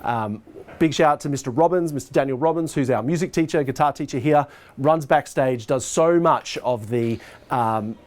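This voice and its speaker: A man's voice: mid-range voice, normal speed. A man in his forties with an Australian accent, explaining things informally in a casual behind-the-scenes walkthrough. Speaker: high school arts and IT head of department giving a tour. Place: indoors, school corridor and tech room. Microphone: lapel mic.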